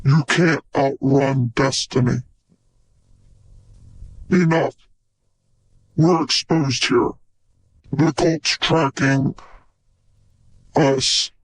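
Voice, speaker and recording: A man playing a grim, menacing cyborg in game-style dramatic lines, with pitch-shifted robotic processing. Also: fairly even intonation, very slow, pauses often, no room sound, low-quality recording. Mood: grim warning.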